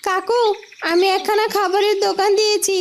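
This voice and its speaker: Cartoon village girl, woman performer: extremely high voice, young, keen food seller. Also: flat delivery, fairly quick, a little hiss or hum. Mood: eager, chirpy. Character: eager young village girl running a street-food stall. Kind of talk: Bengali fairy-tale cartoon voice acting.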